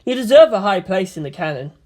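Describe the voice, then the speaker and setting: A woman's voice: mid-range voice, very animated, quick pace, a little mellow. Woman voicing an earnest, polite teenage boy. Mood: friendly.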